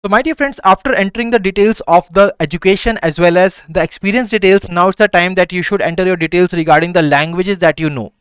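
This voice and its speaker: A man with an Indian English accent and a high, smooth, resonant voice walks viewers through filling out an online form. He talks in a repetitive, reassuring way and sometimes slips into Hindi. The recording is close and dry. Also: fast talker, few pauses, no room sound. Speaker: exam prep tutor. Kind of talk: screen-recorded form walkthrough.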